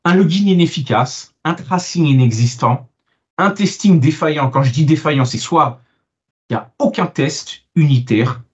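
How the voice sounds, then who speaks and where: A man born in France and now living in Canada, a software architect being interviewed about his book, talking casually with many fillers and restarts. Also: on the high side, moderate pace. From Italian, born in France, living in Canada. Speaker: guest author, software architect. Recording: dull lo-fi sound.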